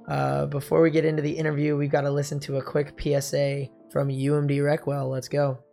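A young American man hosting a podcast and interviewing in a casual way, his voice a little hoarse. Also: a little high, completely flat delivery, a little fast, mellow, faint music behind. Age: young adult. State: slightly hoarse. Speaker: podcast and college radio host. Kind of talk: casual show presenting and interviewing.

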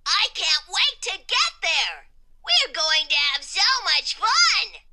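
A woman voicing an excitable, exuberant exclamation point in a children's storybook, exclaiming everything with great enthusiasm. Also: very high-pitched, slow, pitched above the performer's own voice, exaggerated voice. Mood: excited.

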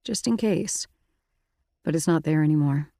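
A woman voicing a calm, firm teenage boy who leads his friends, in a storybook reading. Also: low-pitched, normal speed, gravelly, dry recording, studio quality. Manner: firm, steady.

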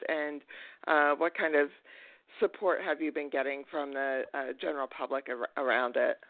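Host, man, slightly high voice, loose rambling questions, dry tinny phone sound. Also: steady tone, normal speed, no echo. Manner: rambling questions, many "you know" fillers. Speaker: podcast host, interviewer. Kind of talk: casual interview chat.

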